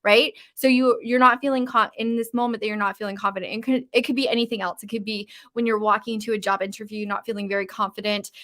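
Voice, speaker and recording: A woman's voice: on the high side, fairly bright tone. A woman with a mild Canadian West Coast accent, coaching on a live stream in a casual, informal way, with uptalk and lots of fillers. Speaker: confidence coach. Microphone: live-stream mic.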